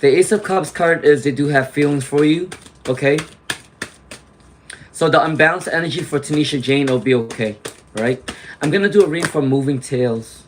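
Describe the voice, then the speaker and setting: A man doing a live tarot reading, chatty and reassuring, clearing his throat often as he loses his voice to laryngitis. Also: on the high side, normal speed, a little hiss or hum. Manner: chatty, reassuring, frequent "okay?" and "all right?" tags. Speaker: tarot reader; teacher.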